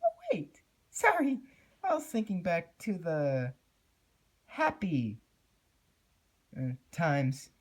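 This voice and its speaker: High, deranged cartoon pony. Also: female voice, wildly swooping pitch, takes it slowly, long pauses, breathy edge, full character voice. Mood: scattered false cheer. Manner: disturbing, manic, scattered speech. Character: deranged, eerily cheerful female cartoon pony, grieving underneath.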